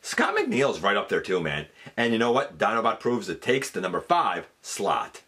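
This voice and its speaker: A man's voice: on the low side, wildly swooping pitch, moderate pace, sharp tone, breathy edge. A man hosting a fan countdown, giving casual, enthusiastic and emphatic commentary. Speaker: host of a fan countdown show.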